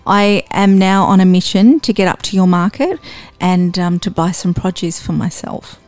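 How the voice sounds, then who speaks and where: Female podcast host, lowish pitch, encouraging casual interviewer, dry studio sound. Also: normal speed, no room sound. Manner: warm encouraging interviewer, frequent affirmations.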